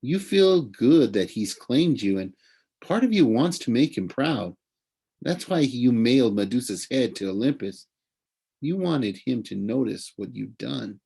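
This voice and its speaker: A man voicing a gentle, modest young male satyr, speaking in an earnest, reassuring way as part of a storybook reading. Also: medium pitch, expressive, a little slow, vocal fry, breathy edge, heavily acted.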